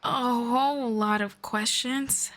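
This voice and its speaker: A woman playing a friendly, calm forest elf in an ASMR fantasy roleplay, speaking in a measured, playful, gently sarcastic way. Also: fairly high pitch. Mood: amused, sarcastic. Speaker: roleplay voice performer.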